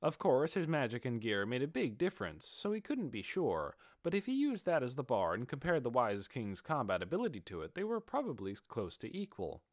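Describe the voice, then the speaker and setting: Expressive male audiobook narrator, dry recording. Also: mid-range voice, dry recording. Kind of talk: dramatic scripted fantasy narration.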